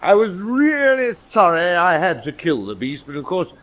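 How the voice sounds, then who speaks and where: Boastful British naval officer, middle-aged, pompous, highish lively voice, radio play. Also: male voice, normal speed. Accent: British English. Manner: pompous, bragging. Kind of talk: radio drama acting.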